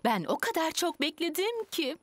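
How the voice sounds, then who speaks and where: A woman voicing a busy, earnest lady rabbit firefighter in a children's cartoon dub, dutiful and excitable. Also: very high-pitched, normal speed, no echo, heavily acted.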